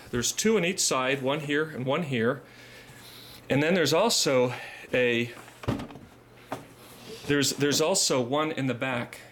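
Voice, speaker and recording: Halting man giving a hands-on tutorial. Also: mid-range voice, a bit bright, slight background noise. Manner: halting, repeats phrases, methodical. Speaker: video creator giving a repair tutorial.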